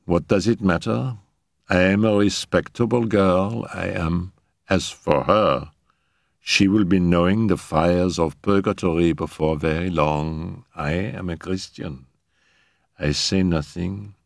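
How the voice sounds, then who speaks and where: A man voicing a sullen, pious female servant who speaks in a quiet, sanctimonious sing-song. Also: sits low, slow, creaky, no echo, studio quality, heavily acted.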